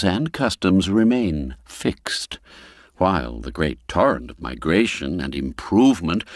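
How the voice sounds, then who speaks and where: Male narrator for literary folk storytelling: low register, very animated melody, formal and measured phrasing. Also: no echo, clean studio sound. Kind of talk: scripted literary narration of a classic folk tale.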